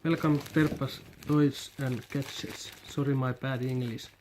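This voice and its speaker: A Finnish man vlogging a toy unboxing in halting non-native English, hesitant and repeating his words. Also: medium pitch, fairly even intonation, a little mellow, a little hiss or hum. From Finland. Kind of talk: casual unboxing commentary.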